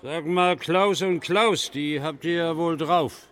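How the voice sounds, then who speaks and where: Village bigwig, radio comedy: high-pitched, slow, bossy and hefty. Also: male voice, a bit bright. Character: bossy, heavyset male village festival bigwig. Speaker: shooting-club festival champion.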